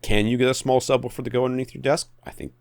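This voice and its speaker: A man's voice: mid-range voice, steady tone, racing, creaky, darkish tone, no echo. A man co-hosting a podcast, giving opinionated tech advice in a blunt, exasperated, emphatic way that repeats points for effect. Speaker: podcast co-host.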